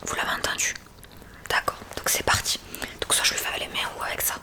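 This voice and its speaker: A woman whispering softly and breathily in an ASMR roleplay as a gentle, reassuring hearing examiner. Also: fast talker.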